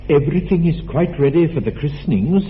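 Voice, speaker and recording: A man's voice: on the high side, lively intonation, brisk, natural voice. A man voicing a mild, fussy country clergyman in a radio play, with a genial, matter-of-fact manner. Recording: thin narrow-band radio recording with faint hiss.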